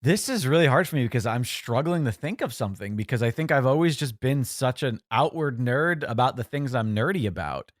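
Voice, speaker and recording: A man's voice: medium pitch, expressive, a little fast, hardly any pauses, no room sound, clean studio sound. A man in his forties, possibly, co-hosting a podcast in casual chat, rambling and self-correcting with a joking tone. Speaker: podcast co-host.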